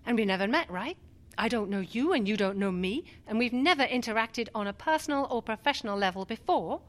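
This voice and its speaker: A woman's voice: a little high, expressive, fairly quick, lightly acted. A woman acting in a radio drama as a grieving, skeptical doctor, defensive and argumentative. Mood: clipped, wary. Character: grieving skeptical female doctor, former army chaplain, defensive. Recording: music behind.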